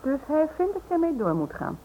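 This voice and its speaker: Sardonic loyal wife, dull recording. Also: female voice, high voice, big pitch swings, moderate pace. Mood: sarcastic, worried. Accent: Dutch. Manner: dry, sarcastic. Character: loyal, sardonic wife, worried yet stubborn. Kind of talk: radio play acting. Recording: dull old radio play recording.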